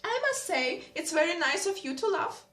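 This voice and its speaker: A woman reading as a fussy, quick-tempered noble mother who scolds and exclaims. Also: very high voice, big pitch swings, fairly bright tone, slight background noise, voice pushed up, heavily acted. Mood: brisk. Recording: roomy echo.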